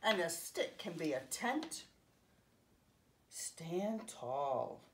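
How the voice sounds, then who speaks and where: A woman in her fifties reading a picture book aloud to young children, expressively, pausing to explain things simply. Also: fairly low pitch, very animated, a little hiss or hum. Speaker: children's story time host. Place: home living room, indoors.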